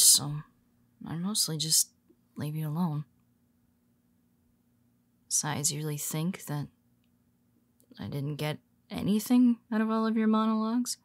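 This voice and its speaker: A woman's voice: low voice, no room sound. A woman playing a tired, teasing superhero. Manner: teasing, soft-spoken, slips into whispers. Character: weary teasing female superhero, nemesis. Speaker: audio roleplay performer. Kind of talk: intimate audio roleplay.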